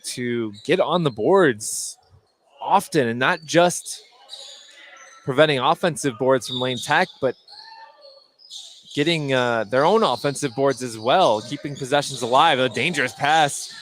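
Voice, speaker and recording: A man's voice: medium pitch, unhurried. A man doing live play-by-play radio commentary of a basketball game as the announcer. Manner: rises to shouts on big plays. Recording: gym noise behind the voice. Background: basketball game in a gym, bouncing balls.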